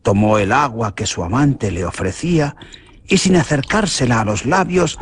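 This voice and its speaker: A man's voice: lively intonation, moderate pace. A man narrating a radio horror drama in a theatrical, dramatic way. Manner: theatrical, with big swings in pitch. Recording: noisy radio drama recording.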